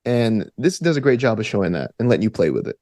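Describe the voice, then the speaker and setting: A man talking casually as a podcast guest, animated, often restarting his sentences and chuckling as he goes. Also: lowish voice, quick pace, no echo, studio quality. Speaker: podcast guest, gamer and game master. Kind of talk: casual conversational interview.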